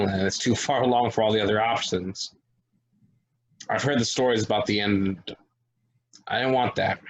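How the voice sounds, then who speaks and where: Man acting as husky, earnest, rattled young man. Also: on the low side, natural voice, poor audio. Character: rattled, dying young man of twenty-five, defensive, earnest. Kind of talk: table reading of a stage play.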